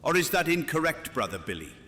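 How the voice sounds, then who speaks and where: An actor playing a stern, accusing royal, with a cool, formal, cutting delivery in a fantasy audio drama. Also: male voice, a little high, big pitch swings, fast, bright, forceful tone. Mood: accusing, cold. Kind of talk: dramatic audio fiction acting. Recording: long hall reverb.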